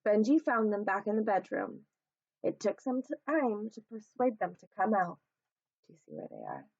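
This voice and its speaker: A woman narrating a children's storybook aloud as a teacher would, in a measured, expressive storytelling manner. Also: mid-range voice, gravelly, husky, dry recording, dull audio.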